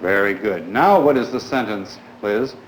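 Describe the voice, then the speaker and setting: A man teaching a class in a formal, polite, deliberate way, putting questions to his pupils. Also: lowish voice, animated. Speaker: schoolteacher leading a grammar class. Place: indoors in a school classroom. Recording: echoey classroom recording with clear background noise.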